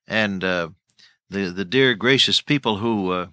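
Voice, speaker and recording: A man's voice: sits low, a little slow, bright, forceful tone, dry recording, clean studio sound. An older man teaching the Bible on radio, telling folksy stories and chuckling as he goes.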